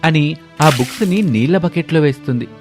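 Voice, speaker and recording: A man's voice: a little high, animated, brisk, music in the background. A man narrating a scripted moral family story as a storyteller.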